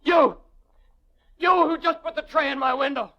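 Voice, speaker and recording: A man's voice: extremely high pitch, steady tone, moderate pace, bright, forceful tone, dull audio. An actor playing an anguished, lonely prisoner recounting his confinement in an old radio drama, with theatrical, intense delivery. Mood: desperate, pleading. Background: music.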